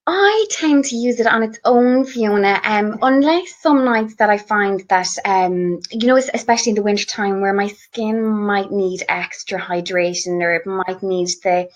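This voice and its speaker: A woman's voice: mid-range voice, moderate pace, hardly any pauses. An Irish woman chatting enthusiastically about her favourite skincare products, with lots of fillers and restarts. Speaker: guest beauty blogger. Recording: slightly muffled lo-fi audio.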